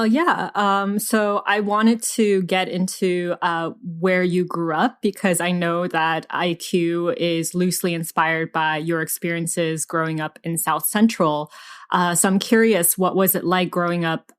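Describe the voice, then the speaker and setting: A woman's voice: on the low side, clear, resonant tone. A woman co-hosting a podcast, chatting casually with slightly hesitant phrasing. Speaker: podcast co-host. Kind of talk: casual interview chat.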